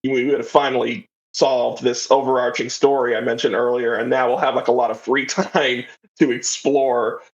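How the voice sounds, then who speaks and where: A man speaks in a slightly dull, lo-fi recording, at a medium pitch. He chats informally as an interview guest, rambling through his stories in a conversational style. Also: flat delivery, normal speed. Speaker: podcast guest, audio drama writer. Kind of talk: casual interview chat.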